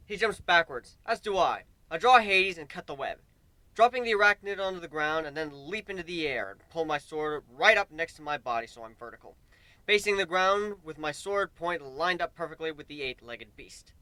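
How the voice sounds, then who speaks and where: Bright, animated man reading a story dramatically. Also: on the high side, moderate pace, no echo. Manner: theatrical, exasperated delivery, swinging from hushed to shouted. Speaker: story reader.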